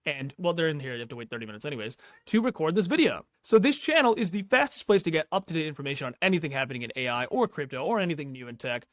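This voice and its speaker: A man's voice: a little high, big pitch swings, racing, few pauses, dry recording. A man giving casual, unscripted tech commentary over a screen recording, slangy and reacting aloud as he goes. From Pakistani background. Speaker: tech YouTuber, host.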